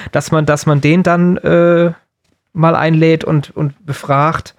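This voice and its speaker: A man's voice: fairly high pitch, level tone, slow, dry recording. A man co-hosting a podcast in casual, joking chat. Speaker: podcast co-host.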